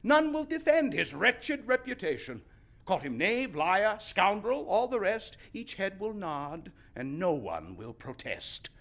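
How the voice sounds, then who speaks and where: A man playing an indignant, gloomy misanthropic gentleman in a stage verse comedy, declaiming emphatically. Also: sits high, very animated, unhurried, exaggerated voice. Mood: indignant, bitter. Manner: declamatory, emphatic, crisp rhyming delivery.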